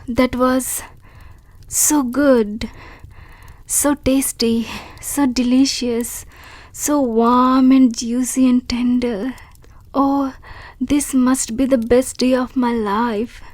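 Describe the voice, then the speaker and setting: A woman voicing a shy, grateful young female wolf creature in an audio roleplay, speaking softly and politely and stammering when unsure. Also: on the high side, no room sound. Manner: soft, polite and hesitant, with stammers.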